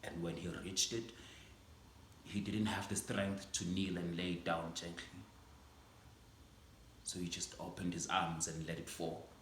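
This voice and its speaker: Male actor as an old schoolteacher, somber theatrical monologue; deep voice, faint hiss, echoey room. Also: level tone, a little slow, pauses often. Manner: ranging from hushed reflection to impassioned outbursts. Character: aging male schoolteacher, proud and grieving. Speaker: stage actor performing a monologue.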